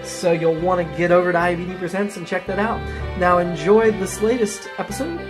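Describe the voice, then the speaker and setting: A woman's voice: on the low side, fairly even intonation, fairly quick. A woman podcast announcer delivering a scripted promotional introduction. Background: music.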